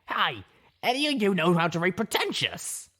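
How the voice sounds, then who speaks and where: A woman voicing a sarcastic, dismissive griffon in an audiobook, husky and creaky. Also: lowish voice, very animated, normal speed, fairly bright tone. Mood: snarky, dismissive.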